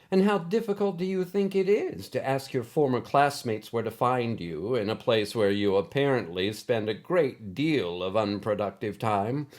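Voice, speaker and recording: Storybook retired schoolmistress, female played by a man: raised pitch, formal, exact, kind but gently scolding. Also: close to an everyday voice. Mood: stern, teasing. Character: kindly but exacting older woman, former schoolteacher.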